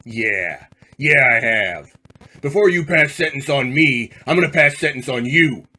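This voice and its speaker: A man's voice: medium pitch, expressive, a little slow, pushed and bright, exaggerated voice. Man playing a tough, defiant condemned gangster. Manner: tough, threatening, slangy. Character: defiant condemned male gangster, vengeful and menacing. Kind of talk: old-time radio drama acting.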